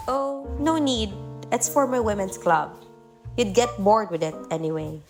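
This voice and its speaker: A woman's voice: medium pitch, measured pace, lightly acted. A woman playing a polite, reassuring young lady in a radio drama. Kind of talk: student radio drama acting. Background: faint music.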